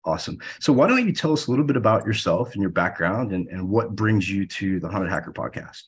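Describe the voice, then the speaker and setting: A male podcast host with a deep, husky voice. He asks his questions hesitantly, often stumbling and restarting his sentences. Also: animated, quick pace. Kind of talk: podcast interview.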